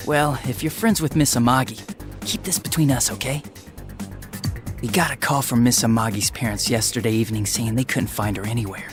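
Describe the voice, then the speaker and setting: A man acts out a young male police detective from a video game, eager and indiscreet. He talks in a loose-lipped, apologetic, informal way at a middling pitch, with music clearly playing behind him. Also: fairly quick, natural voice. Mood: flustered, confiding. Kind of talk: English video game dub voice acting. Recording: background music under the voice.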